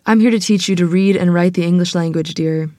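A woman's voice: fairly low pitch, steady tone, brisk, dark tone, no echo, clean studio sound, lightly acted. A woman acting a sturdy, proud, church-going Southern teacher in her fifties in a screenplay table read, firm, motherly and matter-of-fact.

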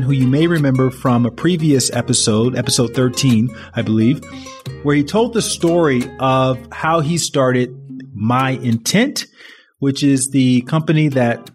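Earnest man hosting a podcast. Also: medium pitch. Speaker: podcast host. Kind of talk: podcast intro and interview.

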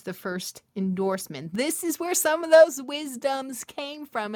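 Casting: middle-aged female inspirational speaker, unhurried delivery, emphatic testimonial style with intimate asides, dry close sound. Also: medium pitch, no echo. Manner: emphatic and testimonial, repeating phrases for weight; intimate, chuckling asides to the listener. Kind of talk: devotional talk addressed to listeners.

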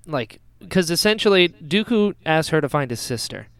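Animated podcast host, lively swooping pitch, clear voice. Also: normal speed, no room sound. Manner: animated, acts out imagined dialogue in quotes. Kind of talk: casual chat, pop-culture discussion.

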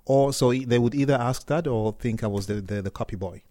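A man's voice: medium pitch, fairly quick, warm tone, no room sound, studio quality. A man from Cameroon, a startup founder, answering interview questions candidly with hesitant restarts.

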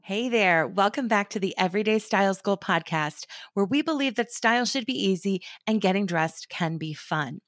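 A woman's voice: mid-range voice, animated, moderate pace, few pauses, no room sound, studio quality. A middle-aged woman hosting a style podcast in a friendly, chatty way, her voice a little scratchy from a recent cold. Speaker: podcast host, stylist. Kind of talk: scripted podcast talk.

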